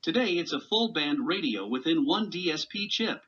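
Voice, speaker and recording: A man's voice: fairly high pitch, lively intonation, normal speed, pushed and bright. A man reading a scripted product review with explanatory narration, on a slightly dull recording.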